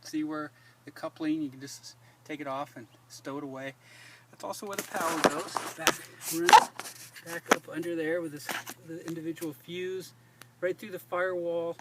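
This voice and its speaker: Man, middle-aged, fairly high voice, folksy DIY demonstrator, thinking aloud, noisy in-vehicle recording. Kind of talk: casual hands-on how-to vlog. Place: inside a parked Jeep.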